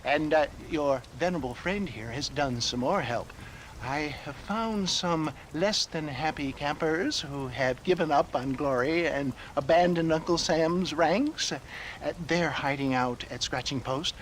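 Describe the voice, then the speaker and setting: An actor playing an eccentric, sly old swindler in a video game, with a florid, theatrical, chuckling manner. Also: male voice, fairly high pitch, a little slow, a little hiss or hum, natural voice, rough recording. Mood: cagey, amused. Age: older. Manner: florid, theatrical, hesitant drawl with cackles and mutterings. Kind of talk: video game character acting.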